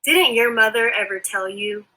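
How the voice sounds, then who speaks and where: A teenage girl reciting a spoken word poem in a measured, reflective way. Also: on the high side, pushed and bright, poor audio. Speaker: student poet.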